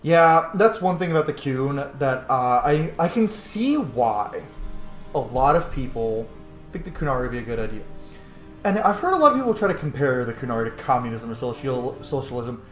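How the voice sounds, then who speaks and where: An opinionated video game player commenting casually on a playthrough. The mid-pitched voice swings in a lively, animated way and swears freely, recorded in an echoey room with faint music. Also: normal speed. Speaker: gaming video host.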